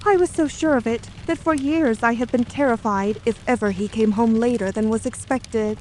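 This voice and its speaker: A woman's voice: a little high, moderate pace, a little mellow, slight background noise, close to an everyday voice, poor audio. A woman playing a composed, guarded English wife of a murdered man in an audio drama, speaking earnestly and formally.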